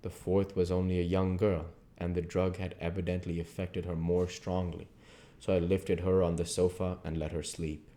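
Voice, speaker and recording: Very deep-voiced man reading a novel. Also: little pitch movement, moderate pace, warm tone. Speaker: amateur audiobook reader. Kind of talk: literary narration read aloud.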